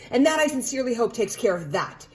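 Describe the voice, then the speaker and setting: A woman voicing a strict, brisk woman spy instructor in a children's storybook, with a stern, formal, superior manner. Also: a little high, big pitch swings, darkish tone, voice pushed up.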